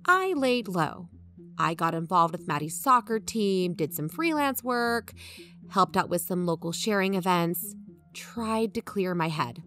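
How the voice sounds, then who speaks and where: A woman's voice: on the low side, animated, unhurried, fairly bright tone. A woman playing an earnest, dry-humoured investigator who co-hosts a podcast, narrating in a plain-spoken, conversational way. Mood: reflective. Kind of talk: conversational scripted audio drama narration. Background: background music.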